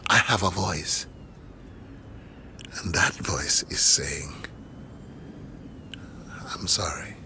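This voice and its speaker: A man's voice: deep voice, drawn-out words, long pauses, pushed and bright, whispered, heavily acted. A man acting in a radio drama as a proud, embattled middle-aged university lecturer, defensive and remorseful, with an intense, articulate, rhetorical delivery. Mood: remorseful, confessional.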